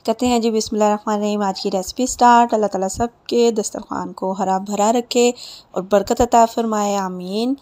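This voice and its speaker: A woman's voice: medium pitch, fairly even intonation, moderate pace, mellow. A woman giving a casual recipe voice-over as a home cooking host, chatty and instructional.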